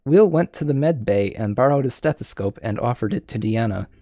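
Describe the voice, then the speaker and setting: A man's voice: medium pitch, animated, a little fast, mellow, dry recording, studio quality. A man reading a story aloud in an informal way, sometimes stumbling over lines. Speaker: fan fiction reader.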